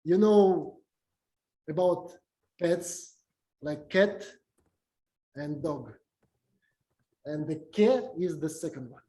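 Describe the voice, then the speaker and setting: A man whose first language is Russian gives a halting academic lecture in English, with many fillers and restarts. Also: fairly high pitch, dark tone, reverberant. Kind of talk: academic talk with slides.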